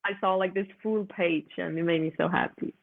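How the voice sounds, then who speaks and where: A woman's voice: on the low side, steady tone, a little fast, gravelly. A young woman with a Swiss German accent, a guest musician talking in a chatty way and laughing often. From Switzerland. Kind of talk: casual interview chat. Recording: tinny phone-like line.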